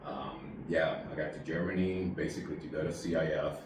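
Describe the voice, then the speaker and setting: Very deep-voiced man, rambling, distant. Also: steady tone, heavy reverb, noisy background. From Puerto Rico. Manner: rambling, halting, many fillers. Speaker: guest, army veteran. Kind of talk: casual interview storytelling.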